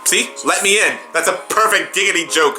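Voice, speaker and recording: A man's voice: high voice, fairly quick. A man doing live reaction commentary as a video host, exclaiming and talking back to the show he follows. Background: drama audio and music playing behind.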